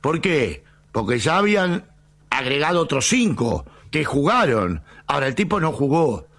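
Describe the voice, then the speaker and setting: A man with an Argentine Spanish accent on a sports talk show, arguing legal points in a measured, argumentative way and cutting in on the other speaker. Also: a little high, big pitch swings, a bit bright. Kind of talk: heated football debate.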